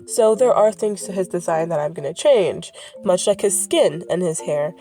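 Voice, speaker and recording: A woman's voice: lowish voice, animated, moderate pace, mellow, faint music behind. A woman who is sick and sniffling, her voice congested, talking casually about her characters, often correcting herself and drifting off script. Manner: rambling, self-correcting, goes off script. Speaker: creator presenting her characters. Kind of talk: casual rambling vlog commentary.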